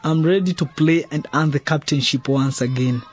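An actor playing an eager, cocky teenage footballer in a radio drama. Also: male voice, fairly high pitch, normal speed, dull audio, close to an everyday voice. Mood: eager, confident.

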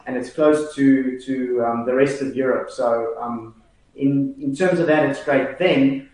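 A man's voice: mid-range voice, measured pace, darkish tone, recorded from a distance. South African man in a very echoey room. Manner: hesitant, many fillers and restarts. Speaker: guest. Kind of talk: casual chat.

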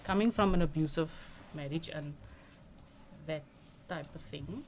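A South African woman in her forties, sitting at a studio microphone as a radio guest. Her voice sits a bit low, and she speaks in a nervous, halting way, full of fillers and restarts. A faint hum of room ambience runs behind her. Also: a little mellow. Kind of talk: personal interview talk.

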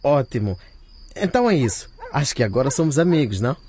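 A man playing a scheming, smooth-talking student in a radio drama, coaxing and conspiratorial. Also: medium pitch, sing-song, quick pace, a little mellow, close to an everyday voice. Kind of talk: scripted radio drama acting.

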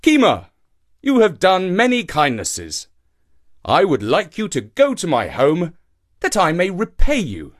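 Man playing a coaxing storybook shark, raised pitch. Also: wildly swooping pitch, unhurried, exaggerated voice. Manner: coaxing, emphatic. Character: male shark, friendly but scheming, gullible, anxious. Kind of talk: animal folktale storytelling.

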